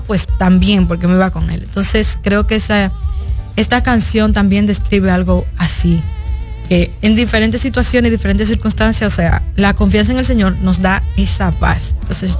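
A woman in her twenties with a Dominican Spanish accent, speaking earnestly and reflectively as an interview guest, hesitating between phrases. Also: mid-range voice. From Dominican Republic. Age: 20s. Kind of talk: conversational radio interview.

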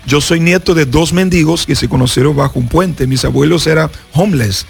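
A man's voice: on the high side, fairly quick, vocal fry, breathy edge, faint music behind. A Brazilian man, a pastor and native Portuguese speaker, giving an earnest personal testimony in Spanish as a guest on a radio interview. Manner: earnest storyteller, acts out voices in his story.

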